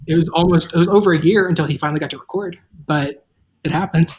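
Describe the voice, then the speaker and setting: Female host-narrator, a touch low, informal, self-deprecating, for casual chat and script narration. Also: fast, darkish tone, slight background noise. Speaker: writer and host, narrator of a table read.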